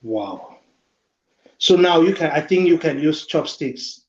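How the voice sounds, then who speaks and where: A man hosts an interview and asks short questions in a friendly way. His voice sits a little high for a man. The sound echoes in the room and is dull and muffled. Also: animated, slow, a little mellow. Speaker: interview host. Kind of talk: hosting a casual interview.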